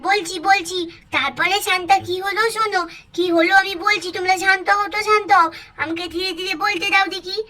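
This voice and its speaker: A woman voicing an excitable little girl doll in a cartoon story, childlike and eager, repeating her phrases. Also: extremely high pitch, a little fast. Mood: eager, insistent.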